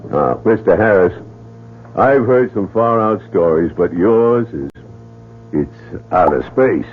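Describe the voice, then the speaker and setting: A blunt, skeptical police lieutenant. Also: male voice, deep voice, lively intonation, slow pace. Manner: blunt, dry, doubting. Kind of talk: 1970s radio drama acting. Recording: tinny old radio broadcast with faint noise.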